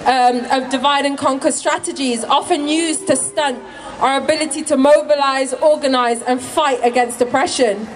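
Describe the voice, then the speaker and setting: A woman delivering a prepared, measured speech at an outdoor rally. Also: sits high, slight background noise, low-quality recording. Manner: steady and measured. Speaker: rally speaker.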